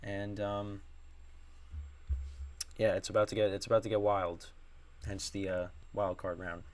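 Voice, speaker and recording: A man's voice: lowish voice, little pitch movement, measured pace, darkish tone. Rambling young male podcast host. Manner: rambling, many fillers and restarts. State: strained voice after screaming at a game. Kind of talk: casual solo sports podcast.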